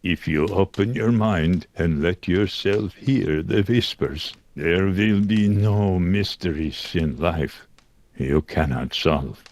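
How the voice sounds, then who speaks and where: An actor playing a calm, cryptic male mystic in an adventure game, solemn and measured, speaking in riddles. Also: deep voice. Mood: serene, cryptic.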